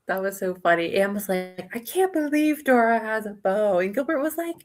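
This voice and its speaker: A woman with a medium-pitched voice talks casually about books. She is chatty and quick to react with exclamations, like a co-host on an informal video call. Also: animated, soft background music. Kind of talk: casual book discussion chat.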